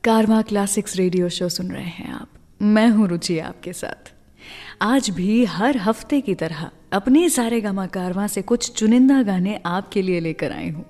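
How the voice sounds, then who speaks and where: A woman's voice: mid-range voice, moderate pace, warm tone, in a large hall. An Indian woman hosting a radio music show in a chatty, conversational way, slipping English phrases into her talk.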